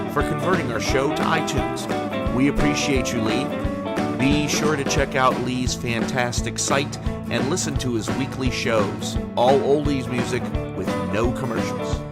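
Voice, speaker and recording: A male announcer with a medium-pitched voice reads scripted show credits. Loud guitar music plays behind him.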